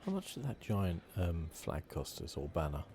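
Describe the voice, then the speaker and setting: A man giving relaxed ASMR-style game commentary with wry asides, speaking softly into a close microphone. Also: deep voice, moderate pace, slight background noise. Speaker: gaming video host. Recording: loud game music behind.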